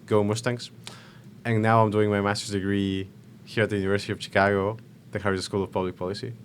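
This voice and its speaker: Man, twenties, from Ecuador, slightly deep voice, podcast guest, casual, playful, emphatic. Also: flat delivery, quick pace, a little hiss or hum. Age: 20s. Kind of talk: casual chat.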